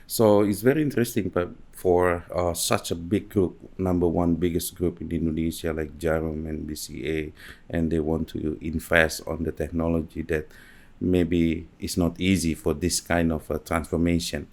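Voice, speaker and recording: A man's voice: low-pitched, flat delivery, moderate pace, darkish tone. A middle-aged man hosting a studio podcast interview, asking questions with hesitant fillers. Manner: hesitant, lots of fillers and listening murmurs. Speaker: host. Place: small studio set. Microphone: studio mic on boom arm, headphones.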